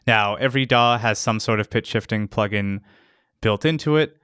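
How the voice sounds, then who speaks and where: A man's voice: on the low side, normal speed, a bit bright, smooth, dry recording, clean studio sound. A man hosting a casual instructional video, explaining step by step as a sound designer. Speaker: tutorial host, sound designer. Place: close, dry voice-over recording.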